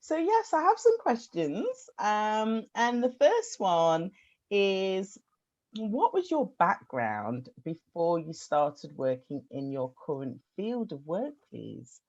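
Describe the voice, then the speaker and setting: A woman's voice: medium pitch, very animated, slow, a little mellow, highs cut off. A woman hosting a podcast interview, chatty and enthusiastic. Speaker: podcast host.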